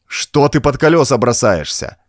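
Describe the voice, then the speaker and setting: A man's voice: medium pitch, sing-song, normal speed, no room sound, clean studio sound, pitched above the performer's own voice, natural voice. A man voicing a tough, wary adventure hero in an audiobook, speaking bluntly and challengingly. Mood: angry alarm.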